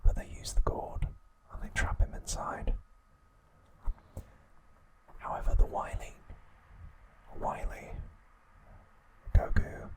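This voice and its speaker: A man hosting ASMR, narrating in a hushed, soothing, breathy whisper at an unhurried pace. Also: rough recording. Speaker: ASMR host.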